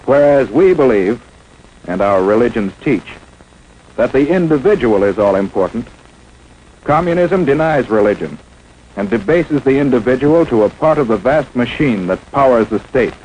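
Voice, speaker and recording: A man's voice: medium pitch, lively intonation, moderate pace, darkish tone, a little hiss or hum, rough recording. An American man narrating a 1950s instructional film in an emphatic, dramatic, scripted manner, on an old film soundtrack. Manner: emphatic, dramatic, rhetorical questioning.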